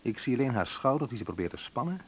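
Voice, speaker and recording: A man's voice: mid-range voice, very animated, quick pace, slight background noise, close to an everyday voice. An actor playing a wry, sensitive young man who recalls his student love life in an intimate first-person radio play monologue. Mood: pained, subdued.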